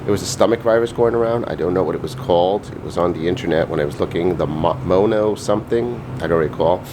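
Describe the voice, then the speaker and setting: A man's voice: low-pitched, quick pace. A man chatting casually to viewers as a vlogger, in a rambling way, recorded in a noisy home kitchen.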